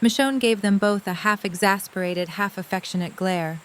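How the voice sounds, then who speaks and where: Calm woman narrator. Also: medium pitch, moderate pace, slight background noise. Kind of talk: scripted narration.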